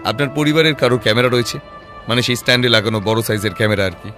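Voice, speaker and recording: A man acting as a sharp-witted private detective with a mid-range voice, measured and composed. He lays out his reasoning patiently and probes with rhetorical questions, in an audio drama with music underneath. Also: medium pitch, natural voice. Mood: calm, explaining. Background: background music.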